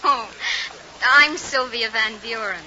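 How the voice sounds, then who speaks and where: A woman's voice: on the high side, slow pace, sharp tone, heavily acted. An actress playing an eager, admiring, forward young small-town woman in a bubbly, insistent 1950s radio drama style. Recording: noisy muffled old vinyl radio transcription.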